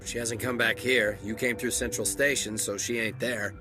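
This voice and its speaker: An actor playing a gruff, weary male security captain in a video game, blunt, sardonic and folksy. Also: fairly low pitch, fairly even intonation, normal speed, music in the background, close to an everyday voice. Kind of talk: video game cutscene acting.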